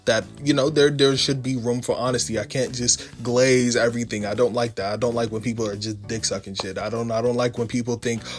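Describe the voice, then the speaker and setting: A man's voice: medium pitch, fairly even intonation, fairly quick, hardly any pauses, soft background music. A man narrating a video game story recap in a casual, blunt, informal way.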